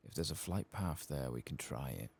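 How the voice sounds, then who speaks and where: Very deep, gravelly soft-spoken man. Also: animated, moderate pace, a little hiss or hum. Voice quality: husky, gravelly. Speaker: video game streamer. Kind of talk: soft-spoken ASMR game playthrough.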